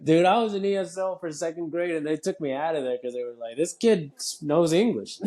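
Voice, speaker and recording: A man's voice: on the high side, a little fast, dark tone. A young man from Texas hosts a casual podcast chat. He is loose and easygoing, swears freely and breaks into laughter often. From Texas, USA. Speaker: podcast host.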